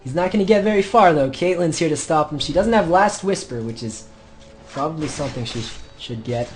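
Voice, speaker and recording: Young male gamer-commentator, high voice, hoarse from yelling, faint music under him. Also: fairly quick. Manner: shouts during fights, calm between. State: losing his voice. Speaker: gameplay commentator. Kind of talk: excited gameplay commentary.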